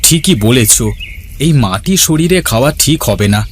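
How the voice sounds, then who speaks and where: A man voicing a good-natured village farmer, husband and father in a cartoon, speaking in a gentle, homely way. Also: medium pitch, lively intonation, measured pace, natural voice. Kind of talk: Bengali cartoon dialogue. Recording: clearly noisy, birds and outdoor ambience.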